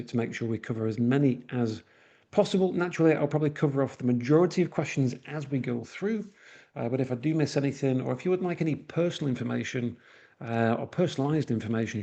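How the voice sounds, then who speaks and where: A man's voice: mid-range voice, vocal fry, dark tone. A man from northwest England with a Manchester accent, giving a webinar presentation with frequent uhs and restarts. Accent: Mancunian.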